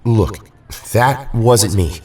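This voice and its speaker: A man's voice: fairly low pitch, animated, drawn-out words, a little mellow, far from the mic. A man playing a weary, guarded young hero in an audio drama, terse and unimpressed. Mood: weary, dismissive.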